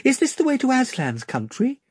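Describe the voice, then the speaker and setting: A man's voice: extremely high pitch, wildly swooping pitch, fairly quick, darkish tone, husky, dry recording, studio quality, pitched above the performer's own voice, full character voice. A man voicing an earnest young schoolgirl who pleads eagerly. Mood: pleading.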